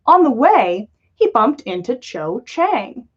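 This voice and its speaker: A woman's voice: on the high side, very animated, takes it slowly, husky. A woman reading a story aloud as a livestream host, with lo-fi sound. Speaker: podcast host reading fan fiction aloud. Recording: dull lo-fi livestream audio.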